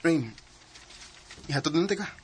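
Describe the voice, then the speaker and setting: A man playing a remorseful, pleading young suitor in a radio drama, speaking earnestly and haltingly with a Cebuano accent. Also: on the high side, lively intonation, a little hiss or hum, natural voice. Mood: concerned. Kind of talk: Philippine radio drama acting. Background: faint music.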